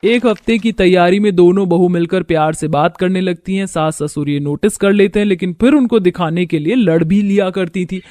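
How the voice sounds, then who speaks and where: A woman's voice: lowish voice, normal speed, hardly any pauses, warm tone. A woman reading a scripted moral story as its narrator.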